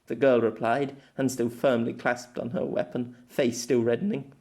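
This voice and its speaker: A man reading a web novel aloud as narrator, in an expressive amateur audiobook style. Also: mid-range voice, moderate pace.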